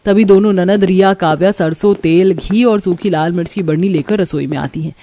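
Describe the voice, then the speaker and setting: A woman's voice: on the low side, moderate pace, mellow, a little hiss or hum. A woman reading scripted narration as the storyteller of a cartoon tale.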